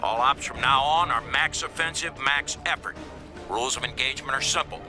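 Guy acting a brisk hard-edged army commander briefing troops in a war game, music behind him. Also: medium pitch, natural voice. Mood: firm, resolute. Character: gruff, confident military commander briefing his troops. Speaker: military commander. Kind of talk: scripted mission briefing.

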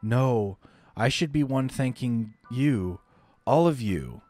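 A man voicing a dying young woman who talks like a small child in her fever, with a high, pleading voice. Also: animated, takes it slowly, a little mellow, soft background music. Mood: tender, wondering.

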